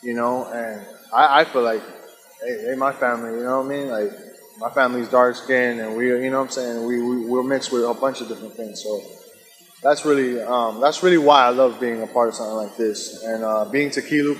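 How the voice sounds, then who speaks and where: A man's voice: medium pitch, steady tone, fairly quick, a bit bright. A man chats informally in an interview, with a mid-range voice and loose, conversational phrasing. Long hall reverb hangs around his words. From Dominican and Puerto Rican background. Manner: informal, loose, frequent "you know". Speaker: stage actor being interviewed. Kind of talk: casual interview chat. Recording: very echoey hall.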